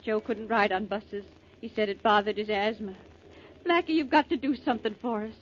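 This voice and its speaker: A woman's voice: medium pitch, fairly quick, natural voice. An American actress playing an anxious, pleading mother in the manner of 1940s radio drama. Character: anxious, pleading mother of a missing adopted son. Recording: dull, faintly noisy 1940s radio recording.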